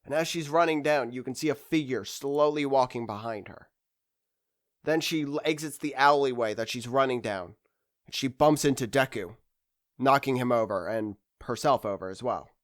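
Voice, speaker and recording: A man's voice: mid-range voice, normal speed. Rambling man in casual fan commentary. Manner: rambling, hesitant, losing his train of thought. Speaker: anime discussion video host.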